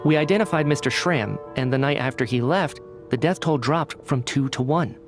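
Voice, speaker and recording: A man's voice: medium pitch, moderate pace, faint music behind, close to an everyday voice. An actor playing an earnest, courteous young man in a video game, articulate and reasoning things out aloud. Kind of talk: video game cutscene acting.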